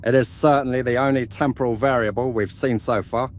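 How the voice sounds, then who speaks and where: A man's voice: medium pitch, fairly even intonation, fairly quick, slight background noise, lightly acted. A man playing a courteous, inquisitive starship crew leader in an amateur sci-fi drama, with old-fashioned phrasing. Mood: intrigued.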